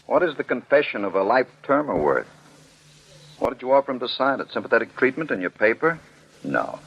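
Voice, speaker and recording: Stern, sardonic commissioner, high-handed, swinging intonation, muffled 1940s radio drama sound. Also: male voice, sing-song, fairly quick, fairly bright tone, breathy edge, heavily acted. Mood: skeptical, scornful. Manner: sarcastic, high-handed, rhetorical questioning. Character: stern, skeptical male commissioner, unyielding defender of jury verdicts.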